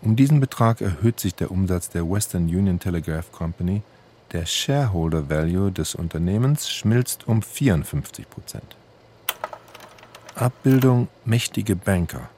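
A man's voice: deep voice, normal speed, warm tone. A man reading scripted literary narration in a factual, matter-of-fact manner. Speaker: reader.

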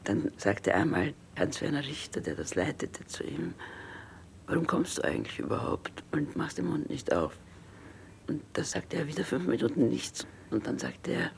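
A man reminiscing in an interview, with a gravelly voice that thins into a breathy whisper. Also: medium pitch, very animated, moderate pace, a little hiss or hum. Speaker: interviewee recalling a fellow writer.

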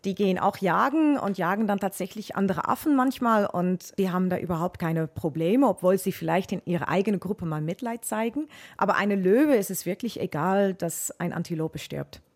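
A woman's voice: medium pitch, fairly quick. A woman animal expert with a Dutch accent, explaining things to children in a plain-spoken, matter-of-fact way.

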